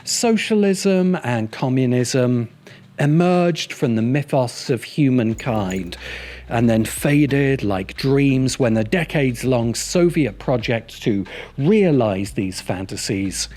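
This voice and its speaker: A man reading scripted video essay narration in a measured, emphatic and wry manner. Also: medium pitch, expressive, a little mellow. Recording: music bed under the voice. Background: background music.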